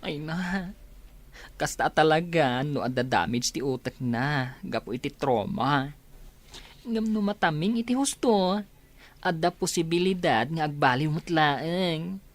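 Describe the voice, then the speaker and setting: An actress playing an earnest, caring friend in a radio drama, expressive and pleading. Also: female voice, deep voice, measured pace. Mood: concerned.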